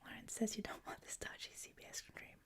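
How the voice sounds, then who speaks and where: A woman with a Canadian accent, a native French speaker, hosting a livestream in a hushed, whispery ASMR style, chatting casually with viewers. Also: fairly quick. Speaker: livestream host. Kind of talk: soft-spoken ASMR, casual chat with viewers.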